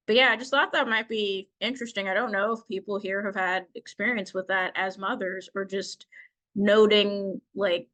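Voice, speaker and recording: A woman's voice: mid-range voice, sharp tone, poor audio. A woman making informal, reflective and meandering remarks as a participant at a symposium, often saying "like". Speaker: audience participant commenting.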